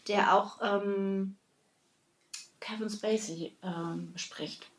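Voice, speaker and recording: A woman's voice: medium pitch, fairly even intonation, extremely slow. A woman talking informally with friends as a podcast co-host. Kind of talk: casual chat among friends. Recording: echoey room.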